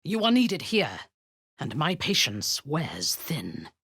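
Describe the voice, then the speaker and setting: A woman voicing a proud, ruthless drow priestess commander in a video game, commanding and menacing, often dropping to a hushed hiss. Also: low voice, wildly swooping pitch, slow, gravelly, slightly rough, no echo, studio quality, heavily acted.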